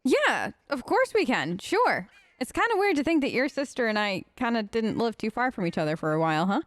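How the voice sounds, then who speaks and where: A woman's voice: on the high side, sing-song, fairly quick, no room sound. A woman hosting a role-play let's play, chatting casually and a little hesitantly to game companions in character.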